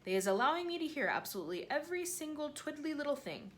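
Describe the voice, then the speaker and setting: A woman voicing a huge, gentle male giant who speaks with jumbled grammar and made-up words, earnest and full of wonder. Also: sits high, big pitch swings, fast talker, a little hiss or hum, voice pushed up.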